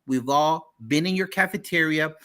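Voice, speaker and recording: A man co-hosting a talk show in an upbeat, energetic, punchy and exclamatory way. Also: on the high side, moderate pace.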